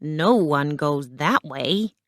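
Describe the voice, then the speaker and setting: Woman as a slow, foreboding male gate guardian. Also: deep voice, lively intonation, no echo, clean studio sound, heavily acted. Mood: matter-of-fact. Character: male city gate guardian, amused, ominously warning.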